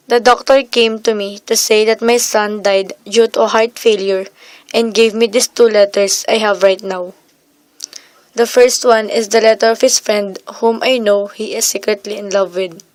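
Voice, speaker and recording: A woman's voice: medium pitch, no echo, close to an everyday voice, poor audio. A woman playing a bereaved but resolute mother, narrating in a scripted radio drama. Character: bereaved mother mourning her son, resolute. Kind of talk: scripted first-person radio drama monologue.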